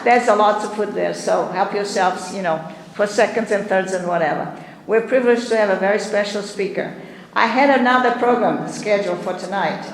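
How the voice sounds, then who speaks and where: Program host, man, high gravelly voice, chatty intro, echoey room with long reverb. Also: a little hiss or hum. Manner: chatty, laughs often. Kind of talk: casual introduction. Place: library hall.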